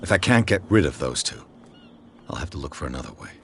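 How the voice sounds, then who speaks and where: An actor playing a calm, dry and dogged male investigator in a video game. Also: rumbling low, quick pace, a little hiss or hum.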